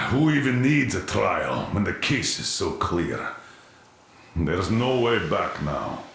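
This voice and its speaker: A man acting a stern, weary military commander in a video game, gruff, authoritative and sardonic. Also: deep voice, very animated, takes it slowly, a bit bright, heavy reverb, exaggerated voice. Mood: weary, commanding.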